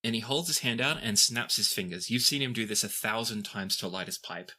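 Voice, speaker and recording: A man acting as game master, improvising narration for a tabletop game in a measured way with pauses mid-sentence. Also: lowish voice, bright, forceful tone.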